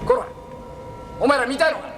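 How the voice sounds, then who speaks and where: Brash, high-voiced rustic haggler. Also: male voice, fairly quick. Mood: scornful, bossy. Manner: rough rustic speech, loud and insistent. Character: brash, gullible, haggling village man. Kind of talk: theatrical stage acting. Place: large exhibition pavilion, live stage performance. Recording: noisy, echoey live stage recording.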